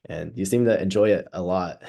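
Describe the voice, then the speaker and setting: A man's voice: sits low, normal speed, vocal fry, mellow. A man interviewing a student, asking casual questions that ramble with repeated starts.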